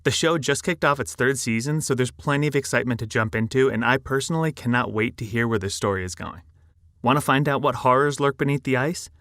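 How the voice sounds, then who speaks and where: A man's voice: mid-range voice, fast talker, fairly bright tone, no echo, studio quality. A man reading a scripted podcast promo as an announcer, with an enthusiastic delivery.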